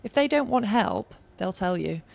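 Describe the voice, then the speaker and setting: A woman's voice: mid-range voice, moderate pace, creaky. A woman in her thirties with a Yorkshire accent, answering interview questions in an earnest, advice-giving way. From Yorkshire, England. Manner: earnest, advice-giving, talks in a steady flow. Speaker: interviewee, former Olympic organising staff.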